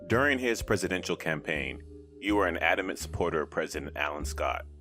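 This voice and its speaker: A man plays a self-deprecating newspaper reporter with a sly streak in an audio drama. His voice is low and his delivery polite and unhurried, with music clearly playing underneath. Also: animated, bright, forceful tone. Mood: businesslike.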